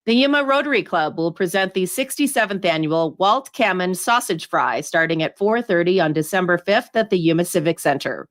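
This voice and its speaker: A woman reading community event announcements on the radio in an even, read-aloud way. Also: lowish voice, brisk, hardly any pauses.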